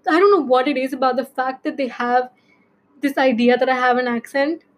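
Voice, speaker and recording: A woman's voice: high voice, steady tone, a little fast. A young woman from India with an Indian accent, hosting a casual solo podcast and talking in a rambling way with lots of fillers. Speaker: podcast host.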